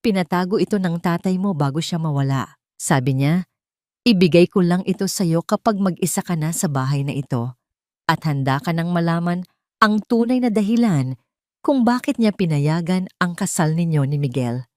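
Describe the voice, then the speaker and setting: A loyal old man, played by a woman storyteller talks solemnly and gently. His voice is measured and close, with a dry sound, in audio drama style. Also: fairly low pitch, expressive, no echo, close to an everyday voice.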